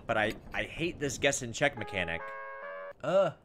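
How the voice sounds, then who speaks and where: Male gamer host, casual and very expressive pitch, thinks aloud and reads on-screen text, clear music underneath. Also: normal speed. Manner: thinks out loud, exasperated asides. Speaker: gaming video host. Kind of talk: casual let's play commentary with read-aloud game text. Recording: background music behind voice. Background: video game music.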